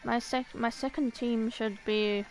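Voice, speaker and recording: A woman's voice: fairly high pitch, fairly even intonation, unhurried, poor audio. A woman livestreamer chatting casually to herself in short phrases while playing a video game. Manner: muttering to self in short phrases. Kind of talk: casual gameplay chat, thinking aloud. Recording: music playing behind the voice.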